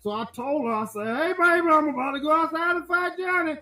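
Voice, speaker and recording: A man playing a crude, loudmouthed rural American voter who rants profanely and rambles. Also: extremely high pitch, a little fast, exaggerated voice. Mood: storytelling. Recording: echoey room.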